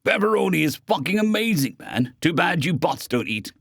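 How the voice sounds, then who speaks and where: A man acts out an alien parasite wearing a human body in an audio story. He is gleeful, greedy and flippant, swooping excitably upward in pitch. The sound is dry and close. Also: normal speed, creaky, dry recording, heavily acted. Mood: flippant, musing. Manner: excitable, flippant, foul-mouthed. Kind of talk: first-person audio story dialogue.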